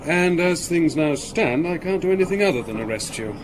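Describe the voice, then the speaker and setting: An actor playing a deferential provincial police inspector in a radio drama, formal and a little hesitant. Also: male voice, on the high side, expressive, fairly quick, background noise, close to an everyday voice.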